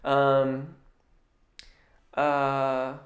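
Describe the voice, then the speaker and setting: A man who is a vocal coach, answering viewers' questions in a relaxed, chatty live stream with lots of ums and restarts. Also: mid-range voice, drawn-out words.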